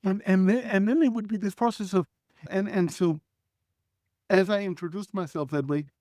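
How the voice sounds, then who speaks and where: A man whose first language is Hebrew, telling his story in a reflective, articulate way with frequent restarts and throat clearing. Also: sits high, moderate pace, warm tone, dry recording, studio quality. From Israel. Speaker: guest. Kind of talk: conversational storytelling.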